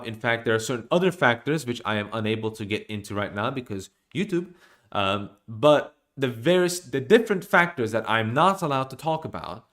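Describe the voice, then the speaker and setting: A young man from Norway streaming from a small room at home, giving an opinionated monologue to his desk webcam with animated gestures and frequent restarts. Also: medium pitch.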